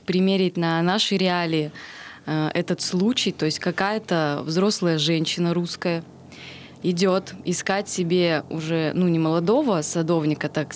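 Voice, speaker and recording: Podcast host, woman, early twenties, lowish voice, upbeat intro reader, faint noise underneath. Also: a little mellow. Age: early 20s. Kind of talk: scripted podcast intro, then casual discussion.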